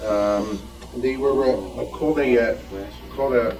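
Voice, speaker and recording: A man explaining informally as an enthusiast, haltingly, often checking in with an "okay". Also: mid-range voice, darkish tone. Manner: enthusiastic, hesitant, tags statements with "okay". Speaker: club member, vacuum tube enthusiast. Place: club meeting room. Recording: distant mic, faint room noise.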